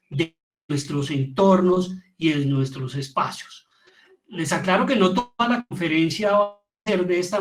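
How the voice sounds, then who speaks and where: A middle-aged man with a Colombian accent gives an informal, reflective lecture, repeating words for emphasis as he teaches. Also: sits high. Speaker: theatre teacher giving a lecture.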